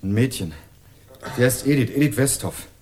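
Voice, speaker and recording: A man's voice: mid-range voice, animated, normal speed, mellow, lightly acted. A young man narrating a radio crime drama in the first person, playing a dogged student turned amateur sleuth in a dry, ironic tone. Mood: concerned. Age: young adult. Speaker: narrator and protagonist.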